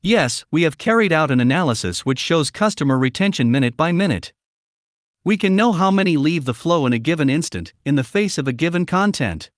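Male interview guest, slightly high voice, very lively intonation, formal explanatory answers. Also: fairly quick, no echo, clean studio sound. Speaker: interview guest, media analytics company representative.

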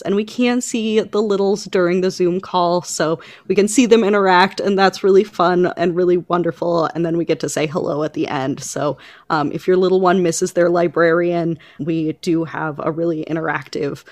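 A woman speaking as a podcast panelist, explaining things informally. Her pitch is a little low, and the sound is dry and free of echo. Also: darkish tone. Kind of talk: casual informational talk.

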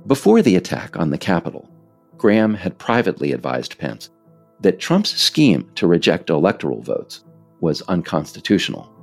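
An American man reading a scripted narration as its author, in a measured way with emphasis on key phrases. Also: deep voice, huge pitch swings, gravelly, soft background music. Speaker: author narrating own work.

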